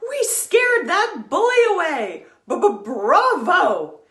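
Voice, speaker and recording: A boastful little male sugar glider from a children's storybook, acted out by a woman. The voice leaps high and swoops dramatically, with theatrical exclamations and cowardly bluster. The room around it is echoey. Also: huge pitch swings, takes it slowly, a bit bright, heavily acted. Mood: excited bluster. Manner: theatrical, exclaiming, stammering when scared.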